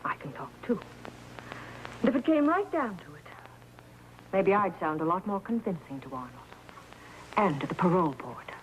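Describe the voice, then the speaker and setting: An actress playing a defiant, scheming wealthy wife in a 1950s crime drama, with a haughty, sharp, dramatic delivery. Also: female voice, on the low side, sing-song, moderate pace, pauses often. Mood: scornful, defiant. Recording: noisy old broadcast soundtrack.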